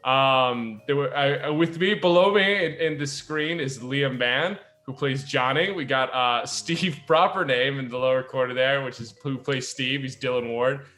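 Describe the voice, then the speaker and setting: A man's voice: medium pitch, normal speed, few pauses, bright, forceful tone. A young man hosting a casual group chat, talking in a rambling, jokey way. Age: young adult. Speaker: podcast host.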